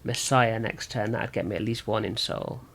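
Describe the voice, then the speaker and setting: A man with a sore throat and slightly rough voice, thinking aloud as he gives casual commentary on a card game. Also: lowish voice, fairly even intonation, moderate pace, a bit bright. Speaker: gaming video host.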